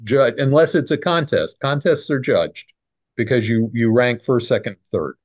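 A man's voice: mid-range voice, moderate pace, no room sound. A middle-aged man hosting a casual video call from home, stumbling over repeated words and restarts and dropping folksy sayings. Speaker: clinic host. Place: home room. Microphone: video call webcam.

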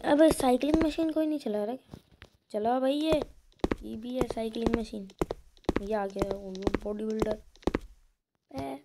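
Woman, higher voice, informal gameplay commentary, chatty, heavy background noise with clicks. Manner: informal, chatty, addressing viewers as friends. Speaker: gaming video creator. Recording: very noisy phone recording with clicks.

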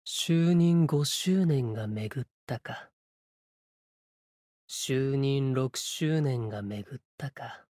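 Slow, breathy sword spirit warrior. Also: male voice, medium pitch, darkish tone, no room sound, studio quality. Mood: calm, measured. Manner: measured, portentous, archaic phrasing. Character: solemn, cryptic sword spirit warrior bound to stars and death. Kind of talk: Japanese game character voice lines.

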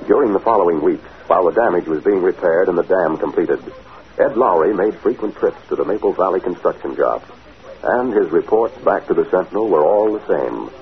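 A man's voice: low voice, lively intonation, a little fast. A man delivering scripted narration for a 1940s radio drama. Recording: tinny 1940s radio recording.

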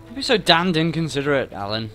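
A man commentates casually on a video game. His bright voice swoops up and down in an animated, jokey way as he chats to the characters. Faint music sits behind him. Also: on the high side, quick pace. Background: game sounds and music.

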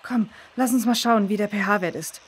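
A woman playing a calm, confident river researcher in a children's audio drama, matter-of-fact and reassuring. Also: medium pitch, moderate pace, husky, lightly acted. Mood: pondering.

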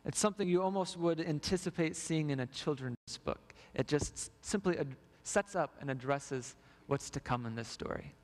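A young man delivers a storytelling sermon in a slightly high voice. His words ring out with the long reverb of a large church sanctuary, picked up by a body mic as he walks the stage. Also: moderate pace, few pauses. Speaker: preacher.

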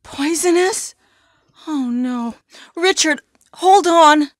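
A high, slow, earnest game heroine. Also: female voice, clean studio sound. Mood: concerned, earnest, then alarmed. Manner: stilted, slow, over-enunciated delivery. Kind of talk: 1990s video game voice acting. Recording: long hollow reverb.

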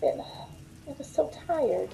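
A woman acting as a scared young woman in a radio suspense play. Her voice is high and soft-edged, anxious and imploring, recorded over a home call with faint noise. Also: expressive, slow pace, gravelly, heavily acted, low-quality recording. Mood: tense narrating. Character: frightened, pleading young working woman held captive. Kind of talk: old-time radio suspense acting, first-person narration. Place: indoors at home on a video call.

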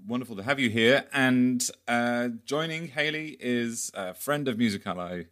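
A man's voice: mid-range voice, normal speed, fairly bright tone. A man hosting a podcast with a wry, playful manner, moving between scripted introduction and chatty interviewing. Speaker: podcast host and editor.

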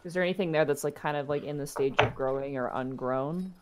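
A woman's voice: deep voice, quick pace, very noisy. A woman chatting casually as a player in a tabletop role-playing game.

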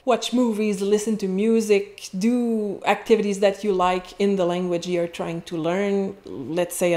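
A woman in her thirties with a Quebec French accent, a French teacher talking as a guest in an animated interview with many restarts, heard over earphone mic on a video call. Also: on the low side. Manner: animated, chatty, frequent restarts and laughs. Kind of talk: casual interview chat. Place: indoor home office. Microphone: wired earphones with mic on a video call.

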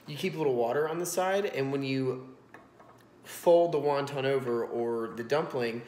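A man's voice: mid-range voice, moderate pace, darkish tone. A man in his thirties hosting a casual cooking vlog, explaining as he goes with a self-deprecating streak. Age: 30s. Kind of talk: casual chatty cooking commentary. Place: home kitchen, indoors. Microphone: iPhone propped on a stack of books. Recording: roomy, echoing kitchen sound.

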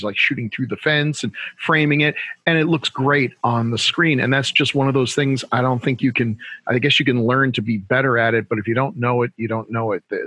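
A man's voice: mid-range voice, bright, forceful tone, husky, dry recording. An older man co-hosting over a headset mic, enthusiastic and full of praise. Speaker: co-host. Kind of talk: casual interview chat.

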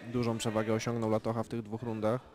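Male boxing commentator, even monotone delivery, steady analytical play-by-play, crowd noise loud behind him. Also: on the low side. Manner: calm, measured play-by-play with technical analysis. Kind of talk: live sports commentary. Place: boxing event venue.

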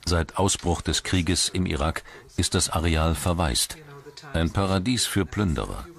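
A man reading scripted documentary narration in a measured, formal manner. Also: deep voice, vocal fry, a bit bright.

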